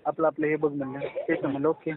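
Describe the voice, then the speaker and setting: A man's voice: on the high side, little pitch movement, fast talker, noisy background. A man with a Marathi accent from Maharashtra talks casually on a phone call, repeating his instructions insistently. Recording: tinny, phone-like call recording.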